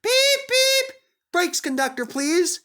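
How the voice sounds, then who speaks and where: A cheeky young tank engine from a children's railway story. The voice sits very high and sharp, cocky and easily flustered, with lively swoops. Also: male voice, slow, full character voice. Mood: panicked.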